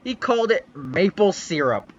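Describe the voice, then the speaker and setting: A man's voice: high voice, sing-song, moderate pace, lo-fi sound. A young man giving a mock-dramatic reading of a fanfic on a livestream, with a theatrical, sweeping storyteller delivery. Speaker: reader on a livestream.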